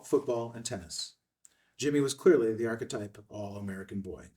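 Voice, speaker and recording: A man's voice: mid-range voice, recorded from a distance. A man reading scene headings and stage directions in a clipped, scripted narration at a table read.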